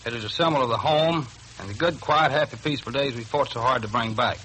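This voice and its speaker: An American man speaks as a sergeant guest in a vintage radio interview. He reads politely and quickly in a bright, forceful voice, with faint hiss on the old recording. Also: medium pitch, rough recording. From Florida, United States. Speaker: army sergeant, prize-winning guest.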